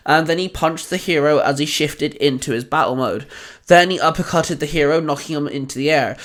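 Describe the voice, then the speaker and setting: Higher-pitched man narrating a story. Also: fairly bright tone. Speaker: host and narrator of fan fiction audio stories.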